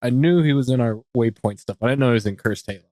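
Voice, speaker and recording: Male podcast guest, average pitch, informal conversational delivery, dry sound. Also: quick pace, mellow, no echo. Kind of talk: casual chat.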